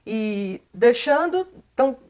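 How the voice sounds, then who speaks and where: A woman's voice: a little high, animated, slow, darkish tone, lo-fi sound. A Brazilian woman interviewing a guest in casual chat, asking long winding questions. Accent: Brazilian Portuguese. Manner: friendly, laughs along, asks long rambling questions.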